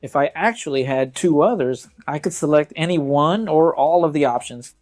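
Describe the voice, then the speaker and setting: A man's voice: a little high, moderate pace, a little mellow, soft background music. A man reviewing tech gadgets in a casual walkthrough, talking informally and directly to viewers.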